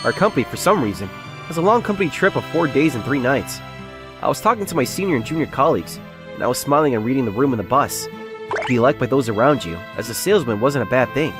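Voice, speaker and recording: A man's voice: medium pitch, animated, quick pace, over music, close to an everyday voice. A man playing an earnest, self-doubting young salesman who secretly feels like a nerd, narrating his own story in a comic dub. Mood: self-assured. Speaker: narrator and lead character of a romance comic dub. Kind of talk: first-person dramatised narration.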